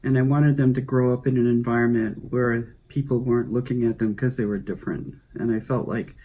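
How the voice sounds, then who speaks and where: A middle-aged man with a mellow, warm tone speaks in a measured, thoughtful way. He weighs his words as he answers interview questions. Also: mid-range voice, fairly even intonation, slight background noise, poor audio.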